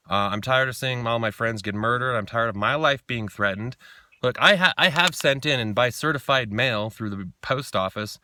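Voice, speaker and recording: Bright-voiced American man, insistent and lecturing. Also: medium pitch, no room sound, clean studio sound. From Idaho, United States. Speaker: caller, activist. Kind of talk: argumentative phone complaint, reciting law.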